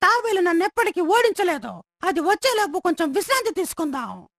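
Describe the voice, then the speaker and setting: A woman voicing a boastful, mocking hare in a children's cartoon story, with a squeaky, taunting delivery. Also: big pitch swings, brisk, a bit bright, exaggerated voice. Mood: smug. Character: boastful, mocking young hare, overconfident and scornful. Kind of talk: children's animated story acting.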